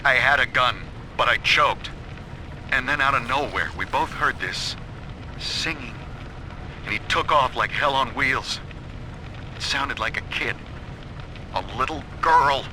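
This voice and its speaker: A man's voice: mid-range voice, a little slow, long pauses, background noise, lightly acted. An actor playing a desperate, haunted father searching for his child, speaking tensely in a game audio diary. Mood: shaken. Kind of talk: dramatic audio diary acting.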